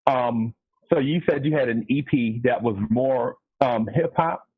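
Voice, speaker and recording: Husky man on a tinny call line. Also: medium pitch, a little slow, dry recording. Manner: slangy, earnest. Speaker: radio co-host. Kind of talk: casual interview chat.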